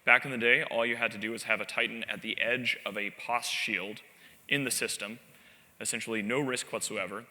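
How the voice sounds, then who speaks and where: Bright, wry male conference speaker. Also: medium pitch, brisk. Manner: animated, wry storytelling with self-deprecating asides. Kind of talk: live conference presentation. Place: large convention hall stage. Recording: long reverb of a large hall.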